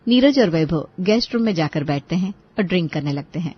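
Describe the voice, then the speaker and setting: A woman's voice: lowish voice, expressive, brisk, husky, highs cut off. A woman reading scripted narration for a Hindi family cartoon story.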